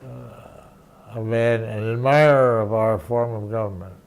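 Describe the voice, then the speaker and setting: An older man, a veteran journalist, recounting memories in an interview, halting and restarting often. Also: lowish voice, fairly even intonation, creaky. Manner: halting, many restarts and fillers. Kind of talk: reminiscing interview.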